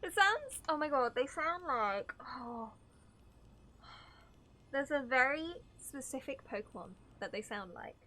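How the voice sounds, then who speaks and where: A woman livestreaming a game with casual, excitable chat, giggly and easily sidetracked. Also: sits high, unhurried. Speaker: livestreamer reacting to a video game.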